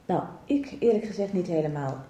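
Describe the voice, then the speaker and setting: Actress playing calm lady doctor, straight talking, mellow voice, echoey room w/ bit of noise. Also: on the low side, a little fast, close to an everyday voice. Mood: frank, firm. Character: calm, frank woman doctor questioning a patient. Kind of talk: radio soap acting.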